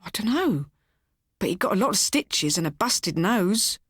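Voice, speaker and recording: A woman voicing an anxious, fanciful wife in a small, hushed voice, in an audiobook story. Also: medium pitch, very animated, measured pace, husky, no echo, studio quality, pitched above the performer's own voice, exaggerated voice. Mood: earnest confessing. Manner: mournful, hushed, on edge.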